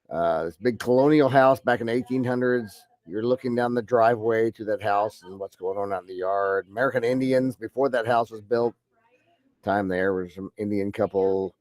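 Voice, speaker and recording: A man's voice: mid-range voice, darkish tone, dry recording. A middle-aged man with a head cold teaching an online math class, rambling with many fillers and restarts. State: head cold, deep congested voice.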